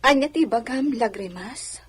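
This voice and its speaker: A woman acting a gentle, mistreated young lady in a radio drama, plaintive and sighing. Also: on the high side, animated, measured pace, slightly rough, full character voice. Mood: kind, curious.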